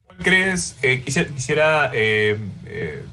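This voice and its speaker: A man interviewing a guest, phrasing his question in a halting, hesitant way. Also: medium pitch, very animated, sharp tone.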